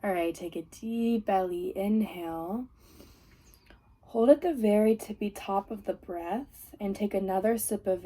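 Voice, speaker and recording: A woman leading a guided meditation as a teacher, giving gentle, soothing, unhurried instructions. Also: mid-range voice. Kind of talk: guided body scan meditation.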